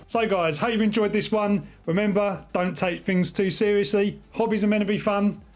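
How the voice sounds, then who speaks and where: A man's voice: high-pitched, fairly even intonation, normal speed, loud music behind the voice. A middle-aged man with a British accent talking casually and informally to his viewers as a video host. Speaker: video host, radio hobbyist. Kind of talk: casual vlog talk to viewers.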